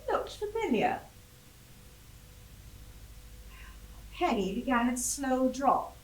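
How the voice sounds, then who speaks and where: A woman's voice: sits high, sing-song. An actress playing a proud, spirited colonial Rani, telling her story imperiously. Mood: wry, confiding. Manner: imperious, deliberate, vividly dramatic storytelling. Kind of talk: theatrical monologue. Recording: echoey room, distant microphone.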